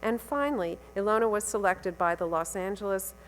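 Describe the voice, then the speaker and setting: A woman's voice: mid-range voice, a little fast, soft background music, rough recording. A woman hosting a symposium session, reading a speaker's bio aloud with stumbles, fillers and wry asides. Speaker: symposium host introducing the speaker. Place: lecture hall at a symposium.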